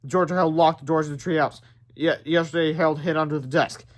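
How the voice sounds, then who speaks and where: A man's voice: on the high side, fairly even intonation, fast talker. A man reading a children's book aloud dramatically, stumbling over the odd word and adding asides. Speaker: read-aloud narrator.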